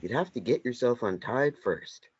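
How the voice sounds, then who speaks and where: A man's voice: medium pitch, lively intonation, normal speed, natural voice. Needling sidekick, read by a man: dry, blunt, confrontational, very varied intonation, slightly lo-fi sound. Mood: dry, sardonic. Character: blunt, sardonic companion who needles a captive. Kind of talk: script table read. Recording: dull lo-fi sound.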